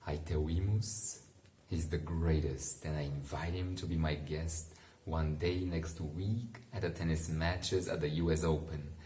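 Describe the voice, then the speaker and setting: Male bedtime reader, very low and unhurried, informal and musing, recorded in a roomy, echoing space. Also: fairly even intonation, warm tone. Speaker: bedtime story reader and commentator. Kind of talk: relaxed read-aloud with casual asides to help listeners sleep.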